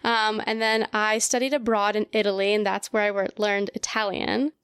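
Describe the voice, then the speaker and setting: A woman's voice: medium pitch, flat delivery, normal speed, a bit bright, clear, resonant tone, no room sound, clean studio sound. An American woman in her early twenties chatting informally as an interview guest, often dropping in "like". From Colorado, United States. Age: early 20s. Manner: informal, peppered with "like". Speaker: podcast guest, graduate student. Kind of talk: casual interview chat.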